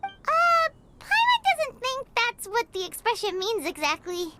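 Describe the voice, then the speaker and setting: Squeaky cartoonish fairy sidekick, greedy, cheeky, third-person talk, wildly bouncing pitch, game character. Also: female voice, wildly swooping pitch, measured pace, pushed and bright, slight background noise, exaggerated voice. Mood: doubtful. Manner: speaks of herself in third person, whiny. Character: tiny female floating fairy companion, greedy and cheeky.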